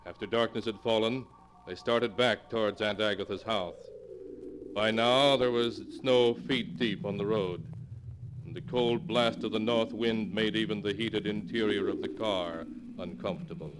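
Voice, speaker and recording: A man's voice: on the low side, steady tone, moderate pace. A man narrating a 1940s radio horror tale in a solemn, dramatic storytelling manner. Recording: noisy vintage 1940s radio recording.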